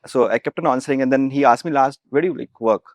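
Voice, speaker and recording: A man's voice: mid-range voice, fast talker, dry recording, studio quality. A young man with an Indian accent, a founder recounting anecdotes casually and often checking in with "right". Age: young adult. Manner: often ends phrases with "right". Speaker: startup founder, interview guest. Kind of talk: casual anecdotal interview talk.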